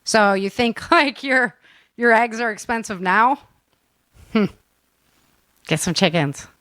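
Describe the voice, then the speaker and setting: A woman hosting a casual chat show in an informal, animated, laughter-prone manner. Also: a little high, slow pace, no room sound. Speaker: podcast host.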